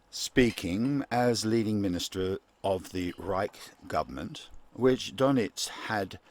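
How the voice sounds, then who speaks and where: A man plays a 1940s wartime radio newsreader with a lowish voice and slow, halting delivery. He is formal and grave, yet his pitch swings widely from phrase to phrase. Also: heavily acted. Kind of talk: 1940s radio news reading in a radio play.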